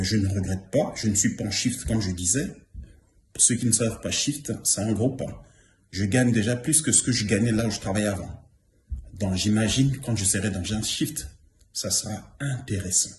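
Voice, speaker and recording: A man's voice: lowish voice, a little mellow. A man in his fifties giving a testimonial, earnest and repeating himself for emphasis. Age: 50s. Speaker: former trainee giving a testimonial. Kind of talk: spoken testimonial. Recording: roomy echo.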